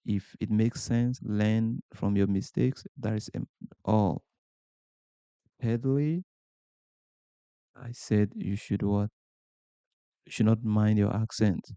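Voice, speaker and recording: A man's voice: lowish voice, fairly even intonation, slow pace, vocal fry, pauses often, warm tone, clear, resonant tone, dry recording, highs cut off. A man from Ghana with a Ghanaian accent, hosting a podcast and giving earnest motivational advice to camera, asking rhetorical questions and repeating points for emphasis. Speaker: podcast host.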